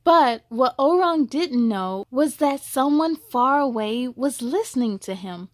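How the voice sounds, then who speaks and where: A woman reading a children's story aloud as host, expressive and exclamatory, speaking to young listeners. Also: sits high, no room sound. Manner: slow, deliberate, exclamatory delivery for children.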